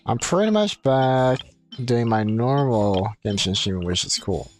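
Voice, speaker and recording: A male game streamer talks casually as he plays. He rambles and thinks aloud in an average-pitched voice, moving loosely from one thought to the next. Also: animated, a little slow, music in the background. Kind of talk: casual live gaming commentary.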